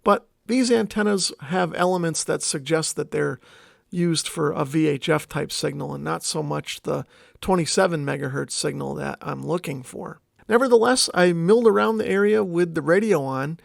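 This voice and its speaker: A man's voice: fairly high pitch, creaky, dry recording, clean studio sound. A man narrating a casual vlog in an informal, explanatory way, thinking aloud as he goes. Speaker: vlogger, radio hobbyist.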